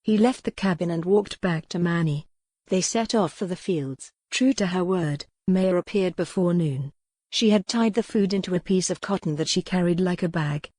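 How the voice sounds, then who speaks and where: A woman's voice: fairly low pitch, moderate pace, dark tone, dry recording. A woman reading historical fiction as a scripted, dramatic audiobook narration.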